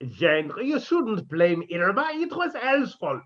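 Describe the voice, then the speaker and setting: A man's voice: sits high, very animated, slow, a bit bright, heavily acted. A man playing a sardonic, scheming older professor who delivers droll wisecracks in a 1950s-style radio comedy. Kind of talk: 1950s radio sitcom acting. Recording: dull lo-fi sound.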